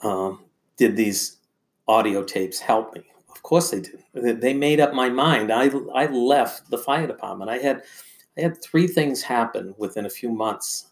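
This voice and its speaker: An older man telling personal stories as a podcast guest, in a halting, rambling and earnest conversational way. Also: medium pitch, animated.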